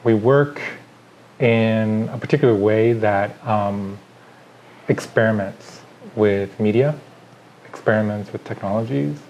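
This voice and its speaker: A middle-aged man from Chile, an artist, speaking reflectively in an interview, pausing often to gather his thoughts. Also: lowish voice, darkish tone, muffled recording, slight background noise. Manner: thoughtful, pauses with fillers, lists ideas.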